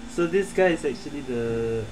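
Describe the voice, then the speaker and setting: A man's voice: mid-range voice, animated, moderate pace, background noise. A man giving casual gameplay commentary, musing hesitantly and repeating words as he reacts. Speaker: streamer playing a video game.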